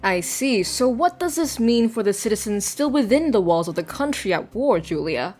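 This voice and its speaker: A woman's voice: on the high side, lively intonation, moderate pace, a little mellow, soft background music. A woman playing a polished, formal female news anchor in an audio drama broadcast. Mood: formal, professional.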